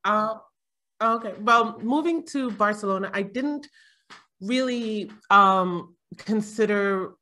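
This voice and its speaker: A woman's voice: mid-range voice, slow pace, sharp tone. A middle-aged woman from Jamaica chatting casually as a panel guest, rambling with frequent fillers and laughs. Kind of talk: casual conversation.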